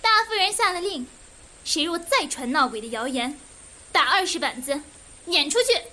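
A woman playing a sharp-tongued, bossy senior maid in a costume radio drama. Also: high-pitched, lively intonation, normal speed. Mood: scolding, threatening. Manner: scolding, bossy.

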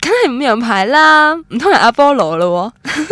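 A woman's voice: sits high, lively intonation, normal speed, fairly bright tone, dry recording. An actress with a Cantonese accent playing a romantic, stubborn young woman who tells her love story in a radio drama. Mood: cocky. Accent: Cantonese, Guangdong. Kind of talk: radio drama narration and dialogue, introspective first-person.

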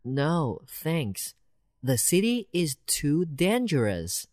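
A woman voicing a small, anxious country mouse in a children's storybook, squeaky and slow. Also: very animated, darkish tone, dry recording, clean studio sound, exaggerated voice. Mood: calm.

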